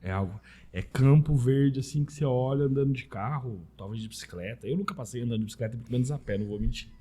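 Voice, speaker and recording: Mellow, husky Brazilian man chatting. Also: medium pitch, fast. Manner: informal, anecdotal, peppered with tag questions. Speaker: podcast guest, Italian citizenship specialist. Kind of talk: casual conversational interview.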